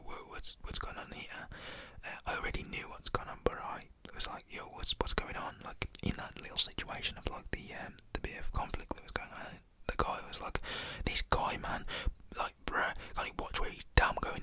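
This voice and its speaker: A man's voice: very high voice, creaky. A young man telling a personal story in a casual, slang-filled, fully whispered voice, full of fillers.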